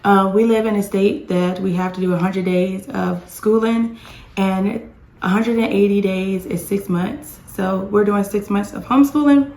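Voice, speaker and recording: Chatty hoarse woman, echoey home. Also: mid-range voice, darkish tone. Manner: chatty, rambling with restarts. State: hoarse, losing her voice. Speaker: homeschooling mom vlogger. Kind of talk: casual chatty vlog. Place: home with dishwasher and dryer running.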